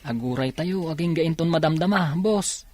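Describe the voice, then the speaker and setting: A man with a Filipino accent acting in a radio drama as an earnest, gentle young factory worker and older brother. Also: a little high, moderate pace, dry recording, natural voice. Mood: respectful.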